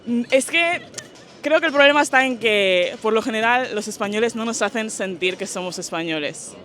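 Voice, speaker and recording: A woman's voice: fairly high pitch, moderate pace, fairly bright tone. A young woman from Spain answering street interview questions in a thoughtful, casual and slangy way. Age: young adult.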